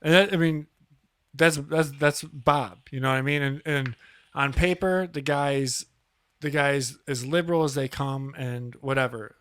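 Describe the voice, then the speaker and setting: Easygoing male co-host, mid pitch, dry close mic. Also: a bit bright, smooth, no echo. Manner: laid-back, agreeable, hesitant restarts. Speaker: podcast co-host. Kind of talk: casual chat.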